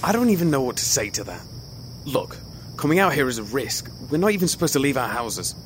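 An actor playing a hurt young ex-boyfriend in an audio drama, sardonic, clipped and dismissive. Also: male voice, mid-range voice, sing-song, brisk, close to an everyday voice. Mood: irritated. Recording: noisy, night insect ambience behind.

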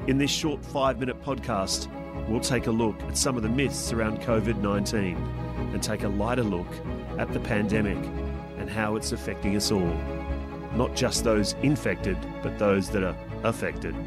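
A man's voice: lowish voice, steady tone, moderate pace, over loud music. A man hosting a short podcast, reading scripted narration and asking interview questions with dry asides. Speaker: podcast host.

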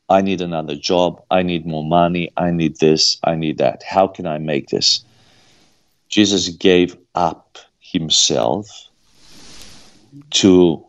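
A man's voice: sits low, dull audio. A man with a Romanian accent, a guest answering at length in an earnest, preaching manner and repeating phrases for emphasis. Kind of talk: preaching-style interview answer.

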